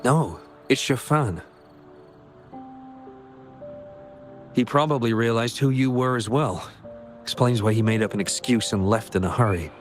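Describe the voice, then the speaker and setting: A man's voice: medium pitch, moderate pace, faint music behind, natural voice. A man voicing an earnest, caring young male researcher in a video game. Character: earnest young male researcher worried for an estranged childhood friend.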